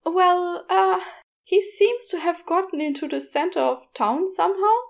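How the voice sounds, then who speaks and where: Woman acting a flustered, high-pitched young shopkeeper. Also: unhurried, vocal fry, full character voice. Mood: flustered, pleading. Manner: hesitant, apologetic. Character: polite, flustered young female village shopkeeper on telephone.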